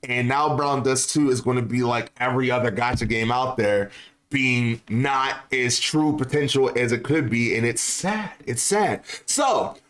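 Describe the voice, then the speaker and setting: A man's voice: mid-range voice, a little slow, a bit bright. A man giving casual, opinionated video commentary on gaming news, often repeating phrases for emphasis. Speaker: video creator and host.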